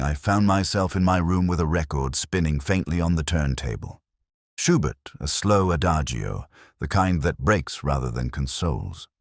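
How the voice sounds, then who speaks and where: A man reads a scripted first-person detective story in a measured, solemn, reflective manner, as a literary narrator. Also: extremely low pitch, no echo, studio quality. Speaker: audiobook-style narrator.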